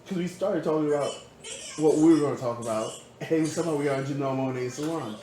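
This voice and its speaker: A man co-hosting a podcast in casual chat, animated and quick to laugh, his voice rising when he teases. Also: a little high, low-quality recording. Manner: animated, laughs often, raises voice when teasing. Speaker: podcast co-host. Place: studio.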